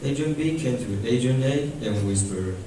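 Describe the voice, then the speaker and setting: A man's voice: mid-range voice, lively intonation, normal speed, dark tone, slight background noise, natural voice. A man narrating an amateur radio drama as its storyteller. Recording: echoey hall from far off, music behind.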